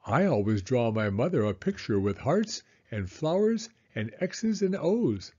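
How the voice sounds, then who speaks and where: A man's voice: medium pitch, very animated, unhurried, warm tone, lo-fi sound. A man voicing a friendly, matter-of-fact young beaver explaining things helpfully in a children's storybook.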